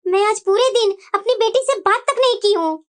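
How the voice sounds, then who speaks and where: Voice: extremely high pitch, fairly quick, noisy background. A performer voicing a doting, scheming middle-aged mother-in-law in a dramatic family cartoon, drawing out the coddling and complaints. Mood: fretting. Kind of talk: Hindi family moral-story cartoon voice acting.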